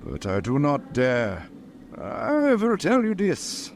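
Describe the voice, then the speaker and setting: An actor playing a grave, ominous old Dutch professor with halting, solemn phrasing in a radio drama. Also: male voice, on the high side, huge pitch swings, a little hiss or hum, full character voice. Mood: ominous warning. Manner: halting, foreign-sounding syntax, solemn. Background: music.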